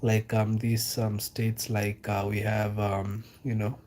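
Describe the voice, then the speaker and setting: A man's voice: lowish voice, little pitch movement, a little mellow, slight background noise. A young man from Kenya chatting casually on a live stream, reading out comments and asking viewers questions, with lots of ums and repeats. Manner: loose and unhurried, many fillers and repeated questions. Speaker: livestreamer reading chat.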